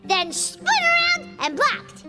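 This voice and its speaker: A woman voicing a small, excitable, childlike fairy companion in a video game, gushing and exclaiming like an eager storyteller. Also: very high-pitched, takes it slowly, over music, heavily acted. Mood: excited boasting. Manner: exclamatory, gushing storyteller, refers to self by name.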